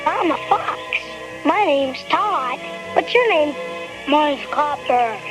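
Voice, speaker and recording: A performer voicing an innocent, eager young animal in an animated film, childlike and guileless, with an American accent. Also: high-pitched, slow pace, poor audio. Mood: curious. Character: eager, innocent young fox and hound puppy. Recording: music behind the voice.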